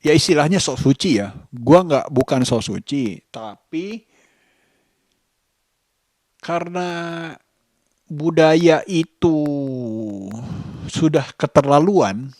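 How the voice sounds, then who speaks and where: A man, giving a casual vlog monologue in halting, deliberate short phrases. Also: on the high side, darkish tone, no echo, clean studio sound. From Indonesia. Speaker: vlogger.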